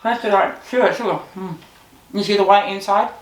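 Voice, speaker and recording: A woman's voice: mid-range voice, normal speed. A woman with a Zimbabwean accent chatting casually and laughing often while tasting snacks. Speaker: vlogger. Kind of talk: casual chat. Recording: echoey room, faint noise.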